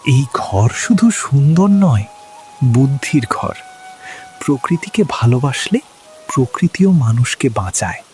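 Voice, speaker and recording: Cartoon storybook friend, male actor: kind, wise, unhurried, lively intonation, music underneath. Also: medium pitch. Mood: wise, proud. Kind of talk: cartoon fairy-tale dialogue. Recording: music bed behind voice.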